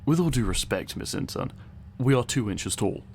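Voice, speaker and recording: A man's voice: mid-range voice, animated, normal speed, creaky, a little mellow, a little hiss or hum. A man playing a stern, sarcastic tiny scientist in a comedic audio roleplay, speaking in a dry, precise, condescending way. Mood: weary, disapproving. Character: tiny male scientist Frederick, stern, exasperated, sarcastic, pedantic.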